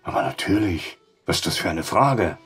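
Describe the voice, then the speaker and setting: Gruff, very husky barkeeper. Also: male voice, deep voice, lively intonation, moderate pace, a bit bright, soft background music, heavily acted. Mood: blunt. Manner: blunt, down-to-earth. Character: gruff, sceptical war-veteran male barkeeper. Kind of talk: audio drama acting.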